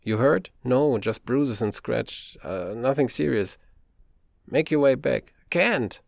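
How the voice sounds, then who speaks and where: A man putting on a frightened teenage girl stuck in a dark shaft, her voice pushed high, tight and pleading. The recording is close and dry, with a gravelly edge under the raised pitch. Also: expressive, takes it slowly, no echo. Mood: panicked. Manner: high, strained, fearful pleading.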